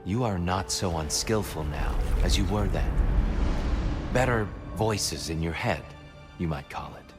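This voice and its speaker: A wise mentor god counsels in a calm, measured voice. His tone sits low and even. Game music plays behind.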